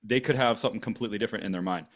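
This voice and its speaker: Low-voiced man in his forties, rambling. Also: very fast. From Germany. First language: German. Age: 40s. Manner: rambling storytelling with many fillers and restarts. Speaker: podcast co-host. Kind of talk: casual podcast conversation.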